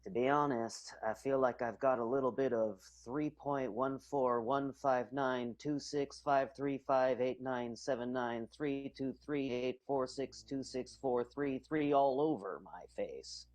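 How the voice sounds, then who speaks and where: A man's voice: mid-range voice, unhurried, hardly any pauses, natural voice. A man playing an earnest sixteen-year-old vaudeville strongman in an improvised radio soap, rambling along. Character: circus strongman just turned sixteen.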